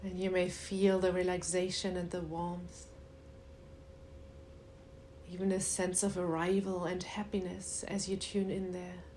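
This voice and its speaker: A woman leading a guided meditation in a soothing, unhurried way with long pauses and a soft voice that turns breathy at times. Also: on the low side, flat delivery, slight background noise.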